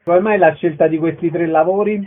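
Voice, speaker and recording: Higher-voiced male interviewer, phone-like sound. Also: fairly even intonation, fairly quick. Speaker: radio interviewer. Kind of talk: interview questions. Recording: thin, phone-like band.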